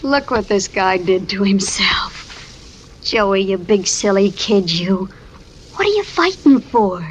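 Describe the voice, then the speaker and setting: A woman's voice: mid-range voice, animated, slow pace. An actress playing an affectionate, fussing young woman, coaxing and bossy by turns, in 1940s radio drama style. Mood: tender teasing. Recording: muffled, faintly noisy old radio recording.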